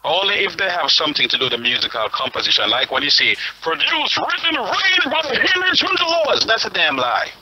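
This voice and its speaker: A man's voice: sits high, big pitch swings, fairly quick, hardly any pauses, pushed and bright, rough recording. A man on a radio panel giving blunt, emphatic opinions with indignant force.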